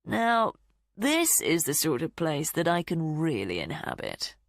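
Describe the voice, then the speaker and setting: Mocking, unhurried villain man with lively swings in pitch , voiced by a woman narrator. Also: no room sound, studio quality, heavily acted. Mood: taunting. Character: menacing smooth male villain, calm and taunting.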